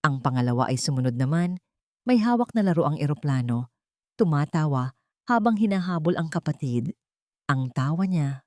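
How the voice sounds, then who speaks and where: A woman narrating a romantic audio drama in a dramatic, expressive storytelling manner. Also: medium pitch, no room sound, studio quality.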